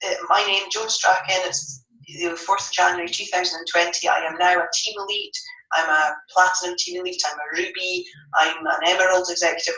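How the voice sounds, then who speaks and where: Stuffy-voiced woman, bright and emphatic. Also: fairly low pitch, far from the mic. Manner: upbeat, emphatic, coaching. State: stuffy from a head cold. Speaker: network marketing distributor. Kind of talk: motivational training talk. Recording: roomy echo, voice set back from the mic.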